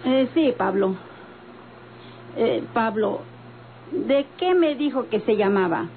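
An actress playing a polite, inquisitive employer in an old radio drama, hesitant and measured. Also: female voice, a little high, lively intonation. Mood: polite, curious. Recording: tinny, slightly noisy old radio recording.

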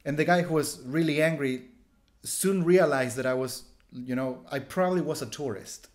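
Chilean guy rambling thru stories on a headset video call, lots of "like", a bit high-voiced. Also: normal speed. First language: Spanish. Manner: rambling, frequent "like" fillers and restarts, animated gestures. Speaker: street photographer guest. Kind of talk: casual storytelling in an interview. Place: small room at home, indoors.

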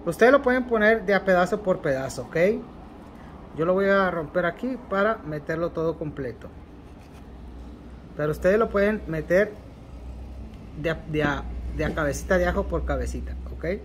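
Mexican man, slightly high voice, walks viewers through a ritual step by step, repetitive and unhurried, faint hiss behind. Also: expressive. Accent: Mexican Spanish. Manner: unhurried and repetitive, ending phrases with a questioning "ok". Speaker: occult ritual instructor. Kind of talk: spoken tutorial demonstrating a ritual. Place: indoors at a table covered with candles and ritual objects.

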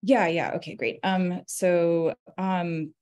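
A woman's voice: lowish voice, steady tone, slow pace, darkish tone. A woman in her thirties speaking as a curator in a panel discussion, articulate and explanatory, often checking in with "right?". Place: indoor room on a video call.

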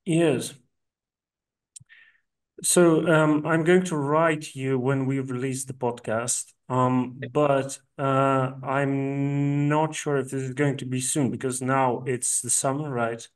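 A man with a Lithuanian accent wraps up a podcast in polite English that he is not fully sure of, restarting his phrases now and then. His voice sits at an ordinary middle pitch for a man, and it sounds set back from the microphone. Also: fairly even intonation, slow pace, recorded from a distance. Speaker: podcast host. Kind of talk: casual podcast sign-off chat.

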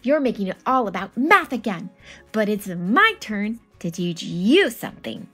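A woman's voice: mid-range voice, very animated, measured pace, a bit bright, slightly rough, faint music behind, full character voice. A woman voicing an exasperated, dramatic math skeptic who argues back in a picture book read-aloud. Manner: dramatic, grumbling, emphatic. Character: exasperated math-skeptic reader arguing with an alien.

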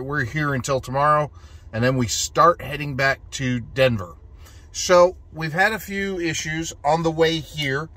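A man's voice: on the high side, fairly bright tone. Easygoing middle-aged man vlogging. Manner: easygoing, rambling with fillers and restarts. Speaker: vlogger on a road trip. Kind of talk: casual vlog narration. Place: inside a car and outdoors at charging stations. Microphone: phone camera at arm's length.

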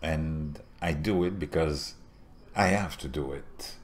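A man in his fifties from Montreal, a native French speaker with a French Canadian accent, talking straight to camera in an earnest political monologue. He swings between quiet murmurs and loud emphatic bursts. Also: very deep voice, takes it slowly, slight background noise. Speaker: political video host. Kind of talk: impassioned political commentary to camera. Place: small indoor home setup with a virtual backdrop.

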